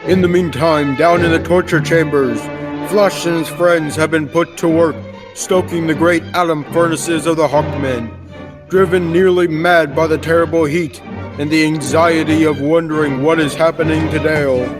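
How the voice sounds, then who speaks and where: Music plays behind a man narrating an old-time radio adventure serial. His voice is on the high side for a man, and he tells the story dramatically from a script. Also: fairly even intonation, normal speed, low-quality recording.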